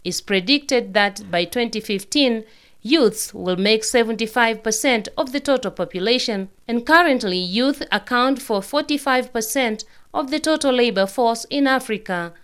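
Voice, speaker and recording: Higher-pitched woman reporting radio news. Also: moderate pace, a bit bright, clean tone. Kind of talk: scripted news narration.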